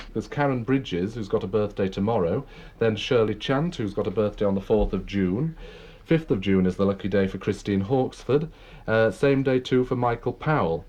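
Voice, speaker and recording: A man hosting a radio show, his voice low and mellow. He chats briskly with a guest and runs through listeners' birthdays. The sound is dull and muffled, like an old reel-to-reel tape. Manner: brisk, chatty presenter reading out birthday lists. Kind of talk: radio interview and announcements.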